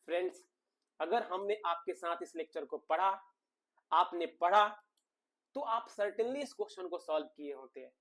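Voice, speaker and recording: A man's voice: high-pitched, animated, unhurried, fairly bright tone. A man giving an informal exam-analysis lecture to viewers, emphatic, repeating key phrases and asking rhetorical questions. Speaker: exam coaching teacher and channel host.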